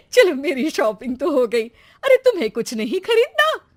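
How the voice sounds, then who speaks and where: A woman's voice: high-pitched, wildly swooping pitch, measured pace, heavily acted. Vain middle-aged mother-in-law played by a woman for an audio tale, melodramatic, upper-register voice. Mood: pleased. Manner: melodramatic, self-absorbed.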